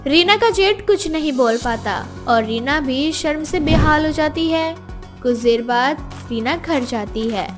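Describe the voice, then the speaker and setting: A woman's voice: high-pitched, animated, measured pace, soft background music. A woman reading scripted story narration as the narrator.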